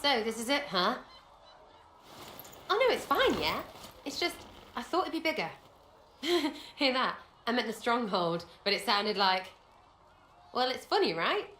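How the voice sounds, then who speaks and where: A scrappy, irreverent young woman rogue from a fantasy game. Her voice is highish and very lively, with slangy, joking delivery. It sits in an echoey space with clear noise behind it. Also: a little slow, long pauses, exaggerated voice. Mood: amused, teasing. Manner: brash, slangy, jokey. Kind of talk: video game character acting.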